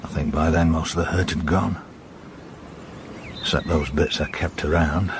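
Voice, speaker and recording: An actor playing a middle-aged police inspector in a radio drama, understated and terse, with a dry, sardonic way of questioning. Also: male voice, very deep voice, fairly even intonation, normal speed, background noise. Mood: wistful, reflective. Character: weary, dry, probing male police inspector. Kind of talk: naturalistic radio drama acting.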